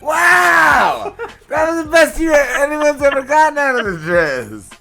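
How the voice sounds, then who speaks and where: A man co-hosts in a very high voice with a gravelly creak. His pitch swings widely as he gives casual, hyped reactions to the guests. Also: big pitch swings, a little slow, pushed and bright, raised voice, faint music behind. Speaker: talk show co-host.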